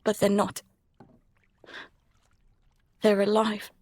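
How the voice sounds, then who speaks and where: A woman acting a frightened, exhausted young survivor adrift at sea in an audio drama, halting and husky. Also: mid-range voice, monotone, darkish tone, no echo. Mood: hushed, drained dread.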